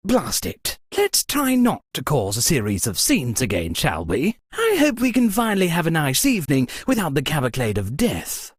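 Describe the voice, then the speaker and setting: An actor playing a flustered, theatrical host of a murder-mystery party in a video game, exclaiming with exasperated high-society affectation. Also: husky, dry recording, studio quality. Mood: exasperated, indignant. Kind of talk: scripted video game character lines.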